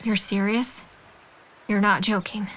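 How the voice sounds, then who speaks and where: A woman's voice: medium pitch, flat delivery, slow pace, a bit bright, a little hiss or hum. A woman acting a caring, frank young woman in an audio drama, speaking in an earnest, direct and reassuring way. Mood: concerned. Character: caring, frank, persistent young woman befriending a troubled man.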